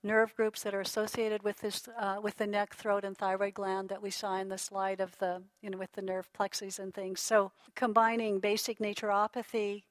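A middle-aged woman lecturing a class on healing in an unhurried, reflective way, with a voice that sits at an ordinary middle pitch. She often circles back to restart her sentences and breaks into soft laughs. The recording is close and dry, with no room sound. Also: flat delivery, dry recording, low-quality recording. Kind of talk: relaxed teaching talk to a class.

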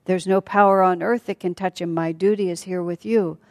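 A woman's voice: fairly low pitch, fairly even intonation, brisk, a little mellow, lightly acted. A woman storyteller voicing a loyal warrior brother, firm, wary and dutiful. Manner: firm, suspicious. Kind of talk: storytelling acted dialogue. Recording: hall with long reverb.

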